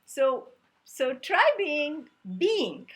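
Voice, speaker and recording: A woman's voice: high-pitched, big pitch swings, drawn-out words, fairly bright tone. A woman, a Tamil speaker, giving an earnest, conversational self-help talk. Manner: earnest, conversational, repetitive lists. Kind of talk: reflective motivational talk.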